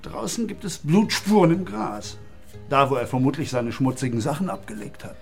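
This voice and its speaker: A man's voice: a little high, sing-song, moderate pace, vocal fry, faint music behind. An actor playing a diligent forensic policeman who reports findings precisely in a radio crime drama. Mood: eager, factual.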